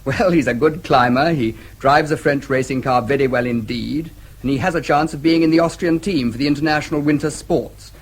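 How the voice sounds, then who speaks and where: An actor playing a brisk, dry-humoured English diplomat and protective brother in a radio drama, with an English accent. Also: male voice, fairly high pitch, husky. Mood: brisk, affable. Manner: brisk, explanatory, dryly teasing. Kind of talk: BBC radio drama acting.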